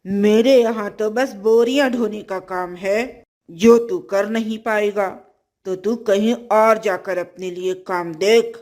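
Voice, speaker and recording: A woman's voice: mid-range voice, steady tone, takes it slowly, a little mellow, pitched below the performer's own voice, natural voice. A woman voicing a businesslike male merchant in a children's fairy tale, blunt and authoritative. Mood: dismissive.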